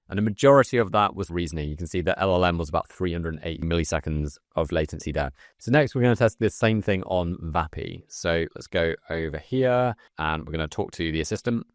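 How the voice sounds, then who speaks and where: A man with a very deep voice presents a screen-recorded tutorial. He explains things step by step in an informal manner, and the sound is close and very dry. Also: no echo. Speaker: presenter of a software comparison video.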